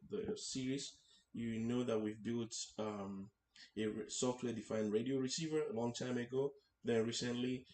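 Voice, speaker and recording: A man teaching a hands-on technical tutorial in an informal way, haltingly, often repeating and rephrasing his words. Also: fairly low pitch, darkish tone. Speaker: instructor hosting an electronics tutorial series. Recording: echoey room, faint background noise.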